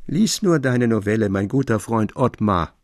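A man narrating a literary radio drama in a measured, formal storytelling manner. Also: lowish voice, animated, dry recording, studio quality.

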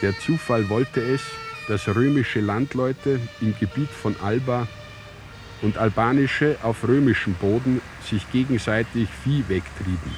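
An actor playing a sober chronicler of ancient Rome in an audio drama, narrating in a measured, matter-of-fact way. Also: male voice, mid-range voice, background noise, natural voice. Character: sober male chronicler retelling an ancient Roman war.

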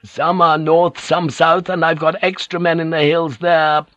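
A man's voice: a little high, little pitch movement, measured pace, a bit bright, no echo, lo-fi sound, voice pushed up. A man voicing a miserable old samurai hunt master who pleads anxiously.

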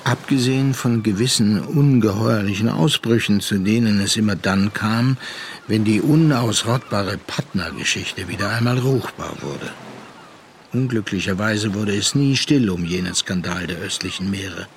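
An actor playing a reflective sea captain, narrating a literary radio drama in a measured way. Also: male voice, lowish voice, a little hiss or hum, close to an everyday voice. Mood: pensive. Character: reflective seafaring captain recounting a past affair.

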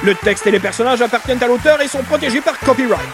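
A man's voice: very high voice, fast, music in the background. A man reading scripted credits as the announcer of a radio show.

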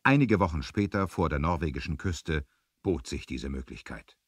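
A man narrating a scripted 1970s adventure audio drama, measured, with dramatic emphasis. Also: a bass voice, expressive, husky, dry recording, studio quality.

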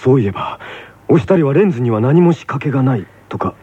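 An actor playing an analytical teenage tennis player in a comic radio drama, questioning dryly in a husky low voice. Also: male voice, expressive, quick pace, warm tone, muffled recording. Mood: puzzled.

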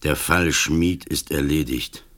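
Old sick police commissioner, radio play, super low voice, slow n grave, accusing, relentless. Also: male voice, steady tone, fairly bright tone, exaggerated voice. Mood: weary, stern. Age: older. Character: old ailing police commissioner, implacable, judging.

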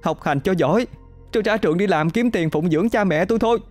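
A man's voice: soft background music, lightly acted. A man voicing a frightened young female student who protests and pleads through tears. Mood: pleading. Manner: shrill, sobbing, rapid protesting.

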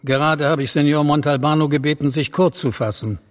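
Impatient, courteous magistrate, played by a man, formal radio-drama authority; highish voice, long hall reverb. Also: steady tone, fairly quick, close to an everyday voice. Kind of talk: German radio drama acting.

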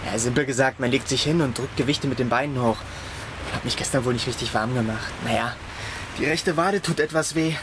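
An easygoing sporty young man, played by an actor, shrugs off his limp casually. Clear street noise and passing traffic fill the scene. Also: medium pitch, fairly quick, lightly acted. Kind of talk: radio play acting.

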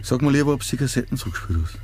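A man's voice: mid-range voice, animated, racing, a little mellow, soft background music, natural voice. An actor playing an impatient man with a Viennese Austrian accent, curt and grumbling, in a naturalistic radio drama. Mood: irritated. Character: gruff, impatient man nervously planning a risky scheme.

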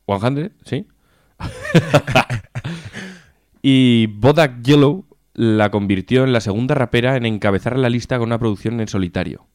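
A man's voice: medium pitch, a little mellow, smooth, dry recording, clean studio sound. A man with an accent from Spain co-hosts a casual radio chat show in an informal, jokey way, swearing freely. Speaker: co-host of a music and chat show.

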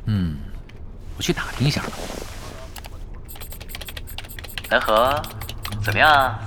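A man's voice: medium pitch, lively intonation, normal speed, noisy background, close to an everyday voice. An actor playing a laid-back, teasing young male gamer in a Chinese audio drama, with a casual, drawling delivery. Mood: relaxed, curious.

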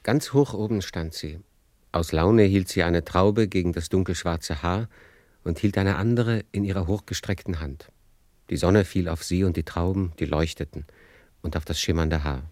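A man narrating a literary radio play as a measured storyteller. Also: deep voice, a little mellow, dry recording.

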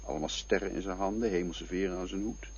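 An actor playing a reflective companion who speaks in a poetic, musing way. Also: male voice, sits low, fast talker, darkish tone, slight background noise, close to an everyday voice. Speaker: actor in a radio play. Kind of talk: radio drama acting. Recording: dull lo-fi recording with faint music.

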